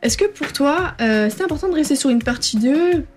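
A woman's voice: high voice, lively intonation, brisk, a little mellow, soft background music. A woman interviewing a rapper, asking friendly questions and summing up his answers. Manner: friendly, prompting, sums up answers.

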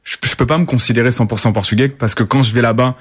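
A man's voice: medium pitch, steady tone, fast, breathy edge, no echo, clean studio sound. A young man from the Paris suburbs, answering interview questions casually with many fillers and restarts. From Paris suburbs, France. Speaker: rapper, guest.

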